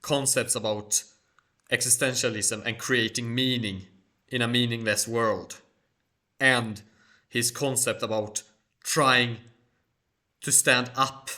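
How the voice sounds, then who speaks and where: Channel host, male, Swedish first language; formal, careful delivery, forceful bright timbre, mid pitch. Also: steady tone. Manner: measured, formal phrasing. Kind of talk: solo Q&A monologue.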